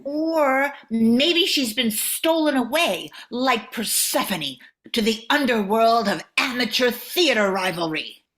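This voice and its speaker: Lofty teacher-playwright, crisp bright tone, unhurried, amateur radio comedy; female actor. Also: on the high side, animated. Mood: lofty, droll. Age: older. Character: highbrow, myth-loving former English teacher and playwright.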